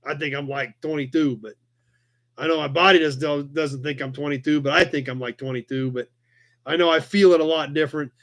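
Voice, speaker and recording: Higher-voiced American man chatting live. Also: fairly bright tone. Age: 40s. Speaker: live chat show host. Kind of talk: casual rambling livestream chat, reading and answering viewer comments.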